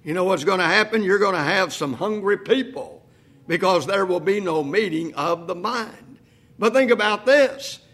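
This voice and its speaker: A man in his sixties preaching a sermon, asking rhetorical questions and slowing to a quiet, deliberate pace for emphasis. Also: high voice. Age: 60s. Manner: repeats "if you please" and "think about this".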